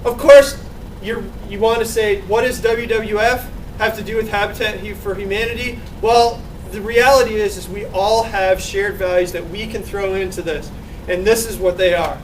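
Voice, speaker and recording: A man giving a group slide presentation with an energetic, repetitive emphasis, recorded from a distance. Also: high-pitched, normal speed. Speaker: student presenter. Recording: distant, with faint noise.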